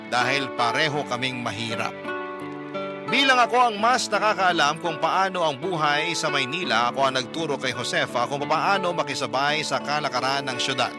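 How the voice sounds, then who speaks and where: A man tells a first-person love story for a radio program in an earnest, storytelling way. His voice sits a little high, and clear background music plays under his narration. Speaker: narrator of a listener's letter. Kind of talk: scripted dramatic radio narration.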